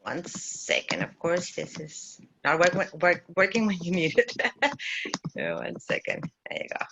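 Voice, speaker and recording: A middle-aged woman with a Chilean Spanish accent, halting as she searches for words while presenting informally to an online workshop over a video call. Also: fairly low pitch, huge pitch swings, vocal fry, fairly bright tone, a little hiss or hum. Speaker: workshop facilitator, cooperative development consultant. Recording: muffled, lo-fi video call audio.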